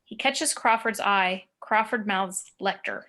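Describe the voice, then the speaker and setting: A woman's voice: mid-range voice, unhurried, sharp tone. A woman giving scripted narration of screenplay action and scene directions at a table read. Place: remote video call.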